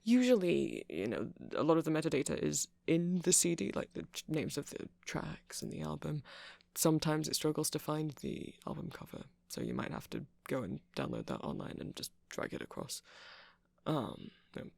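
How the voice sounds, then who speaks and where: Man playing a creaky-voiced nerdy vampire, rambling gently. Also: on the high side, wildly swooping pitch, moderate pace, no echo, exaggerated voice. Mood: earnest explaining. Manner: hesitant, meandering, explanatory. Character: nerdy male vampire, rambling tech enthusiast, gentle boyfriend. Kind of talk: ASMR roleplay, rambling tutorial. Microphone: close mic, dry.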